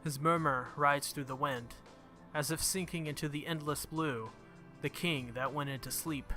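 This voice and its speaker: A man's voice: on the high side, steady tone, moderate pace, pushed and bright. A man who makes gaming videos, reading visual novel text aloud and commenting casually on the game. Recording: music behind the voice. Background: game music.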